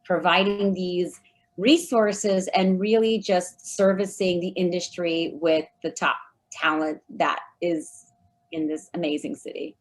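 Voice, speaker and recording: Interview guest: a woman with a lowish voice, friendly and measured. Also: fairly even intonation, poor audio. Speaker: guest, recruiting firm founder. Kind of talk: interview answers.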